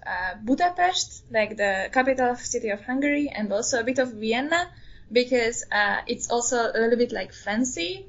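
A woman in her twenties, a guest in a casual interview, speaking hesitant non-native English with many pauses and fillers. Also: fairly high pitch. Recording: dull lo-fi recording.

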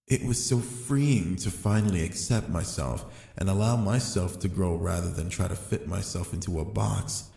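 A man playing a calm, sly, seductive fallen angel in an intimate ASMR roleplay, speaking slowly and deliberately to the listener. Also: low voice, gravelly. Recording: echoey, long reverb.

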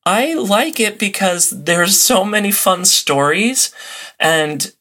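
A man's voice: high voice, lively intonation, slow pace, sharp tone. A man chatting casually and enthusiastically as a podcast guest in an interview.

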